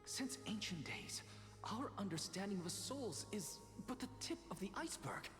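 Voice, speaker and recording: An actor playing a defiant, arrogant young male scholar in a video game, with dramatic, deliberate delivery. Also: high voice, sing-song, fairly bright tone, whispered. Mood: fervent, defiant. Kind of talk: theatrical video game voice acting. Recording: long reverb, game music behind.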